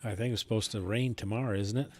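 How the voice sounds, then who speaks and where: A man chatting casually as an amateur radio operator, in a folksy, unhurried way. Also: fairly low pitch, steady tone, a little mellow.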